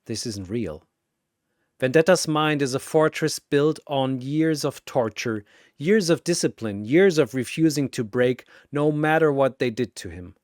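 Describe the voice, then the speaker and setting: A narrator delivers dramatic scripted battle fiction. The pace is unhurried and the intonation lively and varied. The recording is dry and close. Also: mid-range voice, no room sound.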